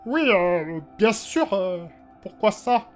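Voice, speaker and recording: An actor playing a hesitant, apologetic subordinate eager to justify himself in a fantasy audio drama. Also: male voice, high-pitched, lively intonation, slow pace, faint music behind. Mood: nervous.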